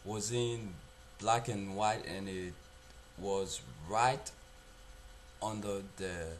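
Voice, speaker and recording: A young man playing a guilt-haunted narrator in a confessional dramatic monologue, halting, subdued and repeating himself. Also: fairly low pitch, steady tone, a little hiss or hum.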